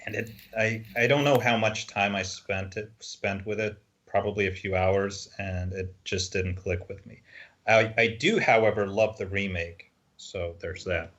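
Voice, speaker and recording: A man's voice: on the low side, a little slow, a bit bright, highs cut off. A man chatting casually as a panellist on a gaming podcast. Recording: noisy voice track with clicks.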